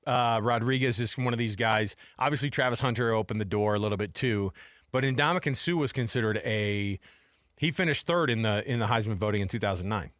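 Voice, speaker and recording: A man's voice: medium pitch, a bit bright, no echo, clean studio sound. An American man co-hosting a sports radio show with casual, chatty, colloquial banter. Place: radio studio. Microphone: close studio microphone.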